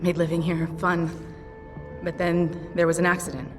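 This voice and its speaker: A woman's voice: fairly low pitch, flat delivery, normal speed, close to an everyday voice. An actress playing a tough, guarded female pilot who swears often in a video game cutscene. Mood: upset. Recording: distant voice with long reverb over music.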